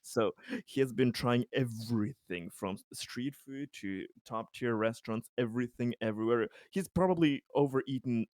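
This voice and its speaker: A man playing a tabletop game, casually describing his character in a halting, rambling way. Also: medium pitch, a little mellow, no room sound.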